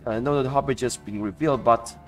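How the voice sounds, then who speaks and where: A man's voice: mid-range voice, fast talker, faint music behind. A man giving casual gameplay commentary as a streamer, offhand and reacting aloud. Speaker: game streamer.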